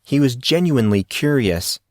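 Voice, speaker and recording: Guy narrating a novel, mid pitched voice, dry close recording no echo. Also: animated, moderate pace. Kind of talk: scripted fiction narration.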